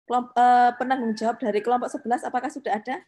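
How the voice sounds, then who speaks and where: A woman's voice: on the high side, steady tone, fast, mellow. A woman runs an online meeting as a lecturer. She speaks informally, directing people and checking in with questions. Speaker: lecturer supervising a student group meeting.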